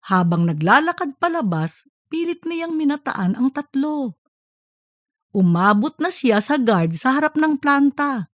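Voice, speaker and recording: A woman's voice: high voice, big pitch swings, measured pace, no room sound, studio quality. A woman narrating a fictional story from a script, like an audiobook.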